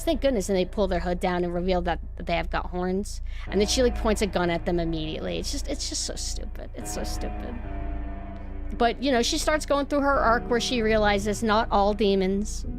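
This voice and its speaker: A young woman with a nasal voice chatting casually on a stream. She rambles, swears freely and is sarcastic. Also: medium pitch.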